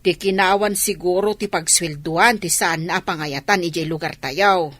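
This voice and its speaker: A woman's voice: lowish voice, moderate pace, a bit bright, no echo. A woman playing a practical, friendly village woman in a radio drama. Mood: practical, coaxing. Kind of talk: radio comedy drama dialogue.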